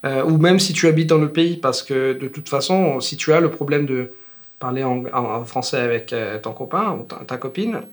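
A man's voice: medium pitch, normal speed. Italian man chatting loosely in French. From Italy. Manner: relaxed, rambling, many fillers and restarts. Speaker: guest, language teacher. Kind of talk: casual conversational interview.

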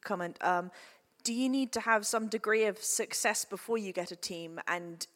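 A reverberant hall carries a woman's medium-pitched voice. She speaks from the audience, forming her question on the spot. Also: normal speed, a bit bright. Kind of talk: spontaneous spoken question. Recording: echoey hall with long reverb.

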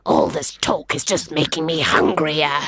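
A woman's voice: steady tone, unhurried, gravelly, fairly bright tone, breathy edge, dry recording, clean studio sound, full character voice. A woman growling as a proud hungry lion. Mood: gruff menacing. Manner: deep growling drawl, dismissive. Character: big proud male lion, hungry and gruff.

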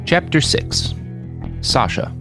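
A man's voice: slow, over music. A man reading a novel aloud as scripted audiobook narration. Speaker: fiction podcast narrator reading own novel.

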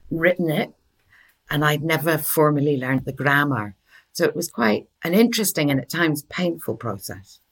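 A woman's voice: extremely low pitch, husky. A middle-aged woman from Scotland, a translator patiently explaining in an interview, often correcting herself. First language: Norwegian and English, bilingual. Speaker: guest, literary translator.

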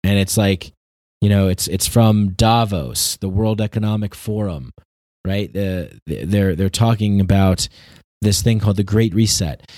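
Male commentator, lowish pitch, dry close sound; earnest off-the-cuff opinion talk with halting, repeated phrases. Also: darkish tone, no echo. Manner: halting, repetitive, searching for words. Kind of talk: unscripted opinion monologue.

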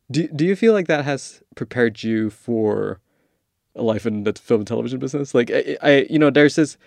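A man's voice: medium pitch, gravelly, darkish tone, dry recording. A man hosting a podcast, moving between narration and casual, conversational interviewing.

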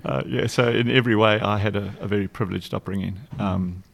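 Low gravelly South African man. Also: steady tone, fairly quick. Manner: warm anecdotal, frequent ums and restarts. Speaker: guest, charity executive director. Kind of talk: relaxed storytelling interview.

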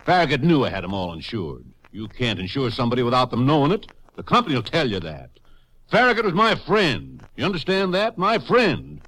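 A man's voice: mid-range voice, wildly swooping pitch, fairly quick, fairly bright tone, poor audio. An actor playing an indignant, defensive small-town businessman in a 1950s radio drama, combative and emphatic. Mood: defensive, impassioned.

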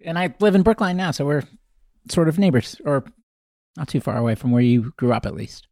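A man's voice: a little high, expressive, fairly quick, a little mellow, no room sound, clean studio sound. A man from Massachusetts hosting an interview, asking casual, curious questions. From Massachusetts, USA. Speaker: interviewer, podcast host. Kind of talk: conversational interview.

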